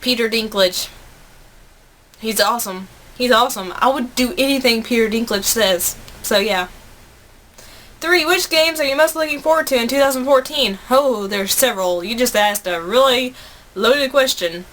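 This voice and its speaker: A woman in her twenties with an American accent speaks in a somewhat high voice. She chats casually to her viewers as a video blogger, earnest and a bit rambling. There is some noise in the homemade recording. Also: moderate pace, fairly bright tone. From United States. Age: 20s. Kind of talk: casual chat to camera.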